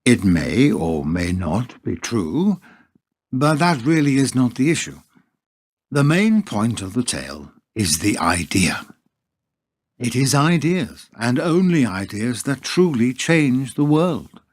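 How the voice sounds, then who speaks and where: A man playing a wry, philosophical storyteller, narrating a radio play with deliberate, rhetorical phrasing. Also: medium pitch, big pitch swings, no room sound.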